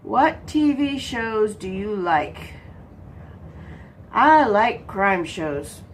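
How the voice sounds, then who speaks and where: A woman's voice: mid-range voice, very animated, extremely slow, a little hiss or hum. A congested woman from Texas, chatting casually while she works on a craft and answering her own questions. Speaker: crafting vlogger.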